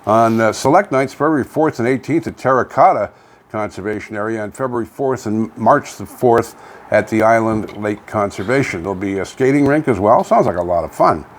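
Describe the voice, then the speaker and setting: Low-voiced man, informal radio co-host. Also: a little fast. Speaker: co-host of a local online radio show. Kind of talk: casual local news chat. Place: home studio.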